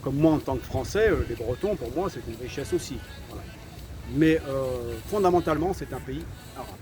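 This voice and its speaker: A man's voice: mid-range voice, expressive, fairly quick, over music. A man from France giving his opinion to camera, hesitant, with many fillers and repeated words between firm statements. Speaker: vlogger.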